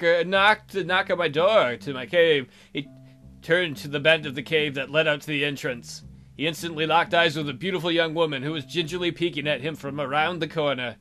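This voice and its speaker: Guy doing a lets play, kinda high bright voice, dramatic reading plus casual comments. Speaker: let's play host.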